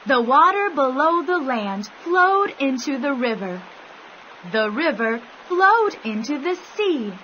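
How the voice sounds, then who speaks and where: Slow, high, bright woman storyteller. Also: very animated, slight background noise. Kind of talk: scripted children's bedtime story narration. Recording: dull sound, soft music behind.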